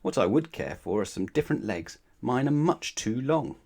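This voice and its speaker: A man's voice: medium pitch, animated, moderate pace, gravelly, darkish tone. A very tall, long-legged children's storybook man, acted out by a male reader. He is glum and mournful, sighing over his lot in a widely swinging voice. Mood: glum complaining.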